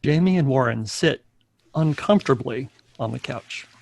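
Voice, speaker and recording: A man narrates a script at a table read. His voice is creaky with fry and recorded dry and close. He reads out the stage directions in a scripted style. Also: medium pitch, lively intonation, moderate pace, a little mellow, dry recording.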